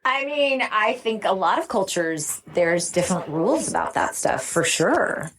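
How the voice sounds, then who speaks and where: A woman's voice: mid-range voice, sing-song, unhurried, a bit bright. A woman speaking as an interview guest, sharing in a conversational, earnest and effusive way. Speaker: interview guest, recovery program veteran.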